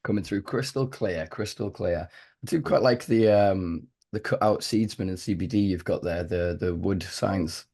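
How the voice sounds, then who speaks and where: A man hosting a casual podcast interview, hoarse and losing his voice, speaking slowly and quietly to spare it. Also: deep voice. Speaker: podcast host.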